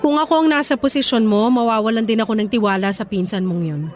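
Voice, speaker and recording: A young woman voicing a supportive girlfriend in casual, expressive radio drama dialogue. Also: on the high side, fast talker, some chatter behind, close to an everyday voice. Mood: sympathetic. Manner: expressive, casual, sympathetic, code-switching into English. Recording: faint music under dialogue.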